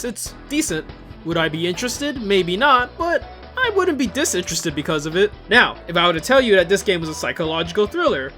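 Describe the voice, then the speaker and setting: A man's voice: sits high, fairly bright tone. A man hosting a casual video commentary, conversational and opinionated, often asking rhetorical questions. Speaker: video host and reviewer. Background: upbeat music from the videos being reviewed.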